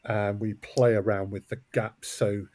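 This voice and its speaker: A man's voice: fairly low pitch, flat delivery, measured pace, a little mellow, no echo. A man speaking as an interview guest, explaining his production work in a conversational way. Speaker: audio drama co-creator and producer, interview guest.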